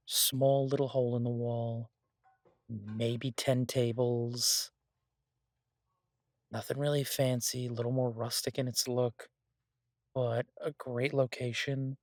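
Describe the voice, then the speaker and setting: Man acting a laid-back, unhurried chef, dry close sound. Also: medium pitch, steady tone, dry recording, lightly acted. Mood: quietly enthusiastic. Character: laid-back, idealistic male chef, devoted to honest food. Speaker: chef and restaurant owner character in an audio roleplay. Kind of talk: intimate conversational audio roleplay.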